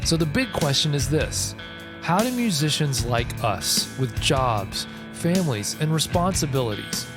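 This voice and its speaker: A man's voice: fairly high pitch, big pitch swings, unhurried. A man reading a polished, scripted show intro in an announcer-like way. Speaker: podcast host. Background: guitar music.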